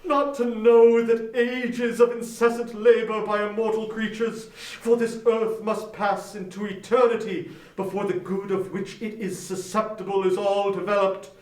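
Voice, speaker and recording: An actor playing the tormented ghost of a dead businessman in a dramatic reading, declaiming in drawn-out, anguished remorse. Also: very high-pitched. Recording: echoey room sound.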